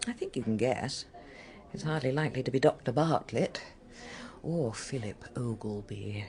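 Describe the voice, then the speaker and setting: An actress playing a confiding, regretful Englishwoman in a radio drama, speaking in a measured way with hesitant pauses. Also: a bass voice, warm tone. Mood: candid. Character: adult female office colleague, confiding, regretful, gently forward. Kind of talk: naturalistic British radio drama acting.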